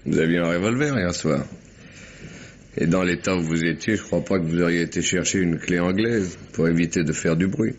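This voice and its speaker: An actor playing a shrewd, talkative police commissioner with a probing, familiar, ironic manner in a French radio mystery. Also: male voice, deep voice, moderate pace, natural voice. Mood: probing, methodical. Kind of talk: French 1950s-style radio mystery acting. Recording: muffled, noisy old radio recording.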